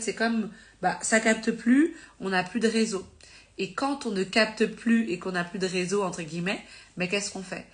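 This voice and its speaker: A woman with a somewhat low voice, a little congested from a cold, speaks to her live-stream viewers about faith. She talks in an earnest, encouraging, informal way and often corrects herself mid-sentence. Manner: conversational, with many fillers and self-corrections.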